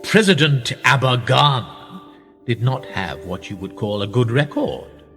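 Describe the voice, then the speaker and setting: Male actor as smug powerful insider, pedantic, condescending, animated pitch, radio drama, long reverb over music. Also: a little slow. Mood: dry storytelling.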